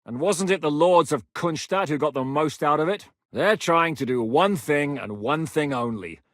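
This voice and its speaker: An actor playing a blunt, battle-hardened knight commander in a game, speaking with commanding, profane directness. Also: male voice, on the high side, lively intonation, normal speed, fairly bright tone, no room sound, studio quality. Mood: scornful. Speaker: game character voice lines. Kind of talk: game voice acting.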